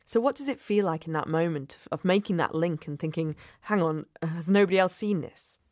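A woman reporter and podcast host giving steady, clear scripted narration. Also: on the low side, brisk, no room sound, clean studio sound.

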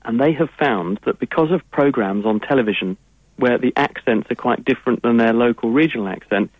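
A man's voice: medium pitch, fairly quick, no room sound. A man with an English accent, a science guest on a radio call-in, answering listeners' questions in long, fluent explanations. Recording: tinny phone-line sound.

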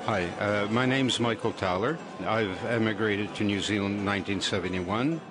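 Low-voiced older man. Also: normal speed, over loud music. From Croatia. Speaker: survey participant. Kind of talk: personal account in an interview. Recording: people chattering in the background. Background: crowd chatter.

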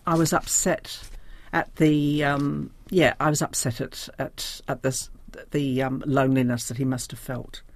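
A woman's voice: a bass voice, level tone, measured pace, dry recording. Hesitant older New Zealand woman, close dry sound. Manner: hesitant, thoughtful, searching for words. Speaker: interview guest, diary editor. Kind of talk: reflective unscripted interview.

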